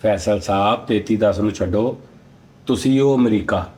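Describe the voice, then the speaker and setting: A man's voice: fairly low pitch, moderate pace, dark tone, a little hiss or hum. A man with a Punjabi accent hosting a casual comedic chat, arguing insistently. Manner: argumentative, insistent. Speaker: talk show host.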